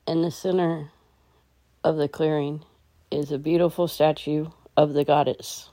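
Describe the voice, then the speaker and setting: A woman guides a meditation in a low, soft-edged voice. Her tone is dark and mellow, and she gives each instruction gently and calmly. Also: a little slow.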